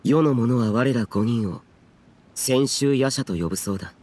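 An actor playing a stern, reserved guardian warrior in a game cutscene, speaking formally in a measured, restrained and archaic way. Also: male voice, mid-range voice, darkish tone, close to an everyday voice. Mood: somber. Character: stern, stoic yaksha guardian, reserved and grave. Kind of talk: dramatic game cutscene dialogue.

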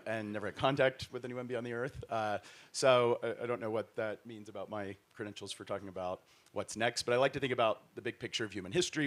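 A man introducing himself as a historian on a stage panel, speaking into a handheld mic in a wry, deliberate way. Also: on the low side. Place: large hall stage before an audience.

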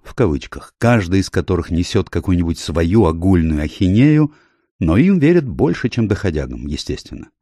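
A man reading a nonfiction audiobook in measured phrases, stressing key words, close to the microphone. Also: sits low, warm tone, dry recording. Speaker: audiobook narrator. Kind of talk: scripted nonfiction audiobook narration.

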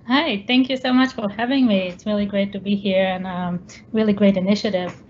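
A woman's voice: mid-range voice, steady tone, fairly quick, darkish tone. Israeli-born woman lecturing over a video call. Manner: explanatory, many ums, slightly non-native phrasing. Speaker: guest scientist. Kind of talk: online presentation lecture. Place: home room. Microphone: laptop webcam video call. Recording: dull lo-fi video call with background noise.

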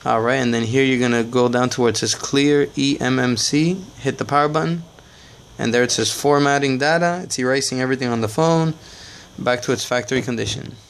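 A man's voice: mid-range voice, a little fast, slight background noise. A man hosting a casual tech tutorial, talking plainly step by step with frequent "uh" and "all right" fillers. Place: indoors at a table, off camera.

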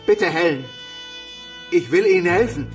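A man's voice: sits high, wildly swooping pitch, moderate pace, creaky, distant mic, soft background music, heavily acted. An actor playing an earnest man pleading urgently in a crime radio play.

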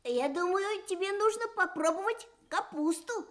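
An actress playing a small, dreamy hare in a children's radio story, savouring every word cutely. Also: female voice, extremely high pitch, lively intonation, moderate pace, a bit bright. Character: small, dreamy, cabbage-loving little hare.

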